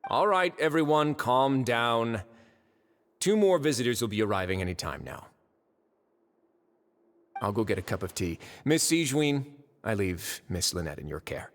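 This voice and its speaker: An actor voicing a suave, unflappable, drily teasing duke in a video game. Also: male voice, medium pitch, very animated, moderate pace, long pauses, big-room sound. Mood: unbothered. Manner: dry, wry, composed.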